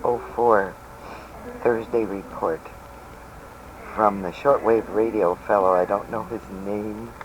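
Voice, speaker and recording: Male, slow and halting, lowish pitch, introducing a radio tape on a noisy home recording. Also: steady tone. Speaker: radio listener introducing a taped broadcast.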